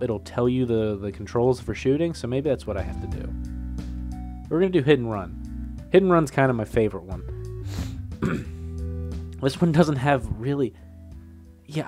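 A young man, sniffling from a cold, talks his way through a game with a lively, swinging voice, reading its text aloud and swearing when it confuses him. Faint game music plays behind him. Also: mid-range voice. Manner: swearing, laughing, easily flustered. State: getting over a cold, sniffling. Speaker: gameplay commentator. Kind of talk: casual let's play commentary, reading game text aloud.

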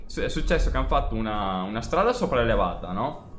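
A man's voice: lowish voice, very animated, brisk, music in the background. A man hosting a truck-driving game video, chatting and joking while he drives and speaking to the viewers. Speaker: gaming channel host. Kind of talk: casual gameplay commentary.

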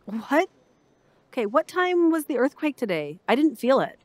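Bewildered woman traveller for radio play, played by an actress, fairly high, very expressive, naturalistic. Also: normal speed, no room sound. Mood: astonished. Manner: expressive, questioning. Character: curious, bewildered woman traveller documenting events. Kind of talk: naturalistic audio drama acting.

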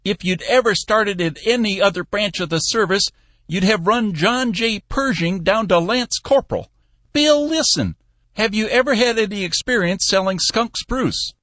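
A man voicing a blustery, excitable old company boss for an audiobook, exclamatory and emphatic. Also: sits high, moderate pace, no room sound, studio quality, pitched above the performer's own voice. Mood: excited. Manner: exclamatory, emphatic, folksy oaths. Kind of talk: audiobook character voice.

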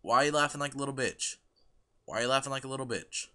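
A man's voice: medium pitch, fast talker, bright, forceful tone. A young man arguing on an internet voice call, aggressive and profane, demanding the same thing over and over. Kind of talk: heated argument on an internet voice call.

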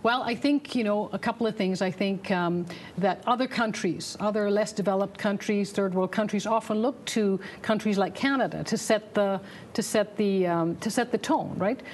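Measured woman social worker, TV studio. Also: medium pitch, darkish tone, a little hiss or hum. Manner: measured, explanatory. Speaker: social worker, panel guest. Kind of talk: televised panel discussion.

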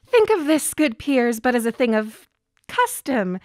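A woman's voice: on the high side, sing-song, a little slow, no room sound, studio quality. An actress playing a composed, commanding queen in a Shakespearean radio drama, speaking poised verse. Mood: covering, insistent.

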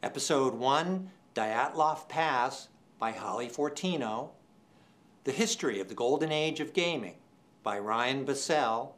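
A man's voice: mid-range voice, expressive, measured pace, fairly bright tone. Older man presenting an awards show. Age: 60s. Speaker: department chair presenting awards. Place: indoor studio set.

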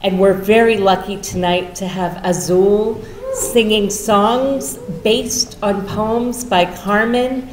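A woman's voice: mid-range voice, fairly even intonation, slow. A middle-aged woman giving an introduction speech at a public event, speaking into a podium microphone. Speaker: poet introducing a performer. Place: large meeting room with seated audience. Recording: roomy hall, some background noise.

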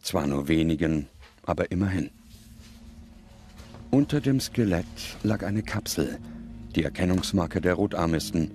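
A man reading scripted documentary narration in a solemn, measured manner with dramatic pauses. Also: low-pitched, animated. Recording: loud music under the voice. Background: music.

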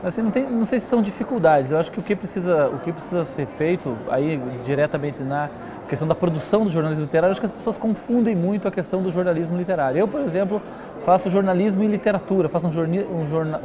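Man, Brazilian accent, high voice, very quick talker, emphatic interview guest. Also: a crowd in the background. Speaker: interview guest, literary newspaper editor. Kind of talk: conversational interview answers.

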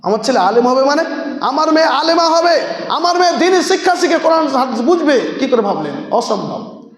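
A male preacher with a very high, piercing voice delivers a scolding sermon, firing off rhetorical questions and hammering points by repeating them. He breaks into shouts again and again. The sound is roomy, with a long reverb from a large hall. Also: sing-song, a little fast, crowd noise. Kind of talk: fiery sermon with frequent shouting. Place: mosque.

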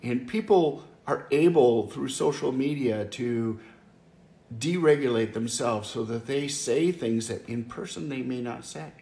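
Man in his fifties, pastor, earnest conversational devotional, gravelly voice, roomy living-room echo. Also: medium pitch, unhurried, dark tone. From Wisconsin, US. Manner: earnest, conversational, frequent restarts. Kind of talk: home devotional talk. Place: living room by a fireplace, indoors. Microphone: camera or phone close up, seated. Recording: echoey room, faint background hiss.

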